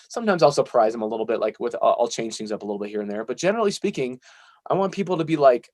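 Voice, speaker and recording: A man's voice: mid-range voice, no echo. A man being interviewed as a musician, chatting casually in a rambling, self-deprecating way.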